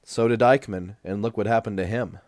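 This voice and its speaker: Audiobook role: stubborn male patient acted by a male reader, sardonic, curt, coolly defiant, on the low side. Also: steady tone, brisk, darkish tone, no room sound, studio quality, close to an everyday voice. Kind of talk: audiobook character dialogue.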